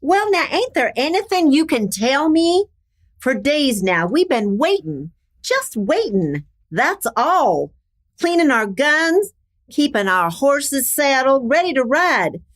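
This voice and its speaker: A woman's voice: sits high, sing-song, takes it slowly, heavily acted. An American woman playing a feisty, impatient ranch woman with folksy speech in a Western radio drama. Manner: folksy, plain-spoken, spirited. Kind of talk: old-time Western radio drama acting.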